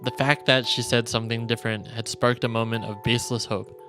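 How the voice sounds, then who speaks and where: A male streamer reading visual novel narration aloud in a solemn, deliberate storytelling manner. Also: mid-range voice, flat delivery. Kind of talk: dramatic reading of game narration. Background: game music.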